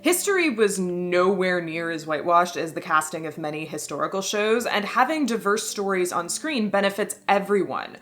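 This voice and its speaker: A woman's voice: fairly low pitch, expressive, moderate pace, hardly any pauses, a bit bright. A woman, a history and costume video creator, reading a scripted video essay in a wry voice with playful emphatic asides.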